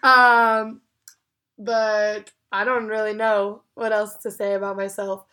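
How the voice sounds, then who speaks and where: A woman's voice: medium pitch, little pitch movement, slow pace, bright, forceful tone. A young woman co-hosting a podcast from a bedroom, chatting playfully and laughing often into a shared microphone. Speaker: podcast co-host, schoolteacher. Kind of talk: casual chat. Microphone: single shared microphone.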